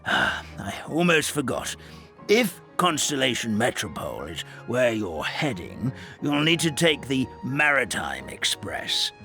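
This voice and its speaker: A kindly old forest grandfather, stammering gently as he speaks. His bright voice sits a little high and swings wildly up and down in pitch. Soft game music plays behind. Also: heavily acted. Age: older. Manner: stammering, wistful, fond. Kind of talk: game cutscene voice acting.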